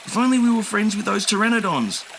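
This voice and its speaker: A man's voice: high-pitched, very animated, fast talker, gravelly, fairly bright tone, slight background noise, pitched above the performer's own voice, heavily acted. A man doing an eager young boy dinosaur.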